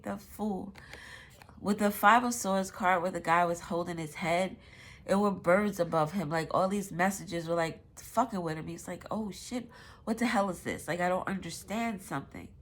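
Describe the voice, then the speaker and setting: A woman with a stuffy nose giving a casual, rambling tarot reading, swearing now and then. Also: mid-range voice. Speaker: tarot reader.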